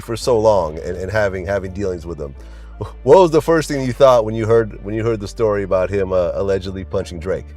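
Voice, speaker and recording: A man's voice: sits low, expressive, fairly quick, dark tone. A man speaking as an interviewer in a conversational style. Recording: music laid under interview clip.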